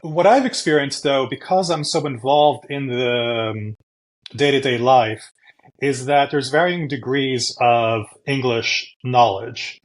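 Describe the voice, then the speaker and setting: A man from the United States answers interview questions in a mid-pitched voice. He is measured and articulate. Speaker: podcast guest.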